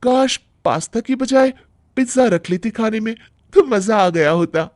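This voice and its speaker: A man's voice: sits high, expressive, a little slow, a little mellow, no echo, clean studio sound, voice pushed up. A man voicing a sweet, whiny, naively greedy young wife in a cartoon story, coaxing and drawing out the words. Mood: defensive. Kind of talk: animated Hindi moral-story cartoon acting.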